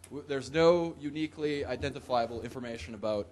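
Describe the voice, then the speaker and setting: A man's voice: medium pitch, fairly quick, a little mellow, slight background noise. A young man giving a loose, joking talk in a conference hall, with whoops and laughs, into a podium microphone. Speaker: conference presenter. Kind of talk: casual live tech talk.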